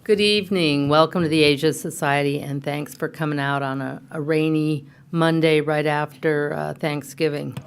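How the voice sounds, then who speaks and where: A woman's voice: low voice, steady tone, normal speed, few pauses, slight background noise. A woman hosting an event, giving an informal, hesitant welcome with joking asides to an audience. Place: public event venue.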